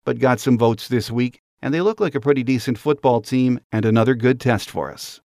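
A man's voice: medium pitch, a little mellow, dry recording, studio quality. An American man speaking as a podcast host, delivering a scripted sports monologue.

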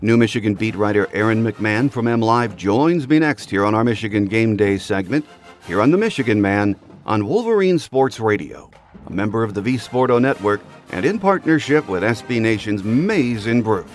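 Low-pitched American man hosting a sports podcast. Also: animated, normal speed, faint music behind. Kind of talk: scripted sports commentary monologue.

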